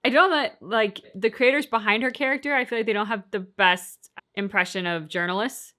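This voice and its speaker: A woman with a bright voice of ordinary pitch. She co-hosts a reaction show in a casual, conversational way, thinking out loud with an inquisitive manner. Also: fairly quick.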